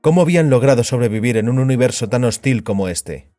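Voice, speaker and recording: A man narrating a dramatized story as scripted fiction, in a grave, deliberate storytelling manner. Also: mid-range voice, expressive, mellow, no echo, clean studio sound. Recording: music behind the voice.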